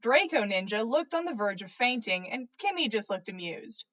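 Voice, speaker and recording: A woman's voice: a little high, sing-song, normal speed, a bit bright, lo-fi sound. A woman reading fan fiction aloud as a podcast host, narrating with playful, theatrical emphasis. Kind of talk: dramatic story narration.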